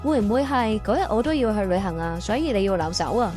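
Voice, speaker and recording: A woman's voice: mid-range voice, expressive, quick pace, warm tone, soft background music. A woman hosting and narrating a comic radio drama in a playful, exaggerated storytelling style.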